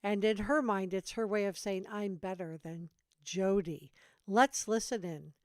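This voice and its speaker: A woman's voice: medium pitch, steady tone, unhurried, crowd noise. A woman host giving analytical commentary on clips. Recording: music bed behind voice. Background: background music.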